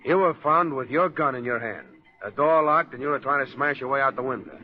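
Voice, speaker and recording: An actor as a blunt, slangy old-time radio cop. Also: male voice, medium pitch, fairly quick, natural voice. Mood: gruff, accusing. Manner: tough-guy slang, blunt. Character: gruff, slangy, wary male police officer escorting a prisoner. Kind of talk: 1940s radio drama acting. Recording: dull lo-fi 1940s radio recording.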